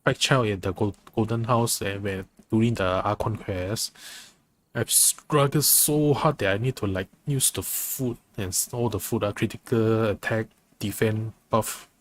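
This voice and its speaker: A man giving casual live game commentary as a streamer, halting and often rephrasing himself. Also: lowish voice, a little hiss or hum. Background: game music.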